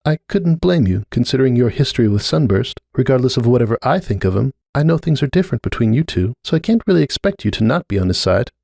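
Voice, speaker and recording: Pony mother for storybook drama, played by a man: lifted pitch, lively swings, hesitant, earnest, stubbornly defensive. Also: fast talker, creaky, few pauses, no room sound, clean studio sound. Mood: resigned, gentle.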